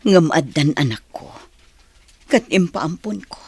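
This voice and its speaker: A woman's voice: low voice, big pitch swings, a little slow, darkish tone, husky, voice pushed up, exaggerated voice. An actress playing a distressed, regretful young mother in a radio melodrama, agitated and emotional. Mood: anguished. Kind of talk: radio drama acting.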